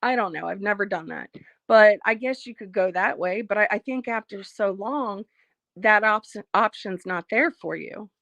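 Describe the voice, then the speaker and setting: A woman hosting a casual livestream, reading out viewer comments and speaking frankly about her life, slightly hoarse as she loses her voice. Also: mid-range voice, moderate pace, fairly bright tone, dry recording. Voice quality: frank, blunt, swears freely. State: losing her voice, a bit hoarse. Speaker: livestream host.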